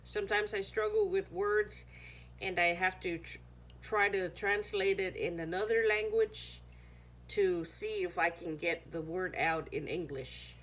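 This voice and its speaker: A woman's voice: lowish voice, fairly even intonation, measured pace, fairly bright tone. A woman whose first language is Chamorro, chatting casually through a home how-to video, sometimes searching for words. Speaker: home cooking vlogger.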